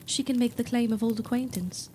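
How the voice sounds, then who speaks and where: A woman playing a reserved, mellow-voiced young governess. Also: on the high side, slight background noise, lightly acted. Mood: reserved, candid. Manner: reserved, polite, measured. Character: modest, candid eighteen-year-old governess with quiet resolve. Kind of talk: period audio drama acting.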